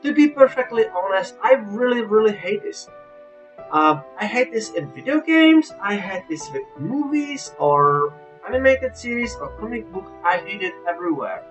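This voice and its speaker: A man with a non-native English accent giving an informal, unscripted game review, halting but emphatic about his opinions. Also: high voice, sing-song, a bit bright, soft background music. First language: not English.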